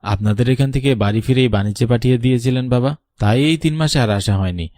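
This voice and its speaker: A man's voice: medium pitch, fast, dark tone, no echo, clean studio sound, lightly acted. A man playing a polite, dutiful young landlord's son in love, in a cartoon story. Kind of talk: Bengali cartoon story voice acting.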